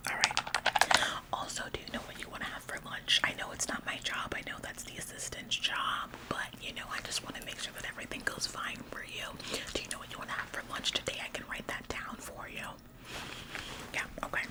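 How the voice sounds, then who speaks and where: A woman's voice: fairly quick, lightly acted, poor audio. A woman in a whispered ASMR roleplay, playing an overeager, officious office employee who acts like the boss, chirpy, fussy and politely bossy. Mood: eager, fawning.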